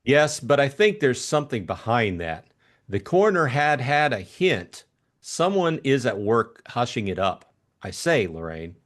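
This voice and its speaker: A man voicing a light-hearted young gentleman adventurer in an audiobook, breezy and chummy with a jaunty manner. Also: mid-range voice, sing-song, measured pace. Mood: concerned, breezy.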